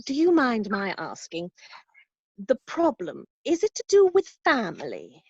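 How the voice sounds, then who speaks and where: A woman's voice: on the high side, huge pitch swings, measured pace, darkish tone, slightly rough, no echo, full character voice. A woman playing a reassuring, businesslike company saleswoman in a scripted drama read, recorded over a slightly dull video call. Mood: reassuring, professional.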